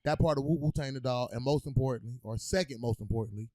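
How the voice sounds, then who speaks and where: Fast-talking laid-back man co-hosting a podcast. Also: medium pitch, darkish tone, no echo. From Ohio. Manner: joking, laid-back, says "you know what I'm saying" often. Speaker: podcast co-host. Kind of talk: casual chat.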